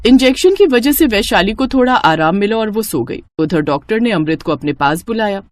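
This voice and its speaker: A woman narrates a cartoon family story and voices the young wife. Her delivery is lively and expressive, with a pitch that moves a lot. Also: normal speed.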